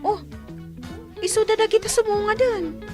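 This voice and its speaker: A woman acting a friendly, level-headed young village friend in an Ilocano radio drama, chatty and gently chiding, with an Ilocano accent. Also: extremely high pitch, moderate pace, over music. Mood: gracious, friendly.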